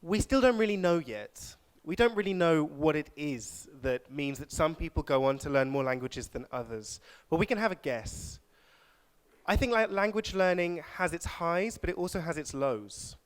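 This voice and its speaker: A man's voice: fairly high pitch, lively intonation, clean tone. Man giving a conference talk. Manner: reflective, measured closing remarks. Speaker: conference speaker. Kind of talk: conference talk with audience questions. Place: lecture hall.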